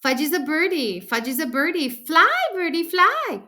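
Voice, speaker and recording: A woman voicing an excitable little toddler boy in a storybook, using baby talk and short repeated phrases. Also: sits high, normal speed, voice pushed up, heavily acted. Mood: excited.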